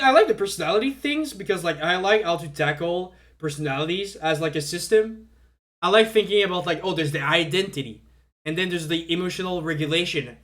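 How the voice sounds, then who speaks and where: A man's voice: on the high side, a bit bright. A young man whose first language is French, talking casually on a live stream, rambling with lots of fillers and stumbling restarts.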